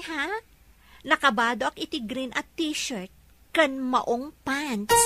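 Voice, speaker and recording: An actress playing a coy young working woman in an Ilocano radio drama, melodramatic and sweetly scheming. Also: sits high. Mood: apologetic, coy.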